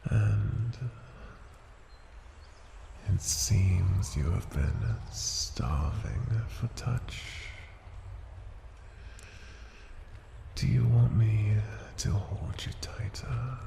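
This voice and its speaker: An ancient dragon played by a man murmurs in a low, gravelly, breathy voice, often sinking to a whisper. He is seductive and possessive, addressing a mortal with condescending tenderness in an intimate fantasy roleplay. The sound is roomy, with echo around the words. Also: expressive, dark tone, exaggerated voice. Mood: seductive, teasing. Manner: slow, deliberate, teasing endearments. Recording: roomy echo, noisy ambience.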